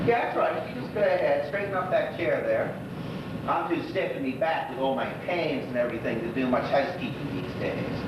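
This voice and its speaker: An actor playing a lonely, gossipy old widower with a Bermudian accent, rambling through neighbourhood memories in a folksy stage monologue. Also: male voice, natural voice. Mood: gossipy, chatty. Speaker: actor in a one-act monologue. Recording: echoey hall from far off, noisy, rumbling.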